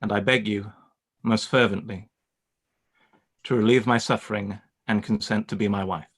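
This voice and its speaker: A proud Regency gentleman, acted by a man in a radio play. The voice sits fairly low, with formal, haughty phrasing and a stiff but ardent temper. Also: steady tone, normal speed, natural voice. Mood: ardent, strained. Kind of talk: radio play live reading.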